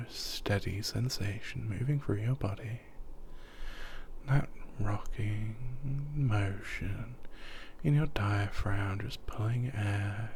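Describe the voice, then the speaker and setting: A man talks the listener toward sleep in a soft, often half-whispered voice, circling back over the same phrases again and again. His voice sits a little low, and he takes his time over every word. A faint hiss runs underneath. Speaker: hypnotist. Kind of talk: sleep hypnosis script.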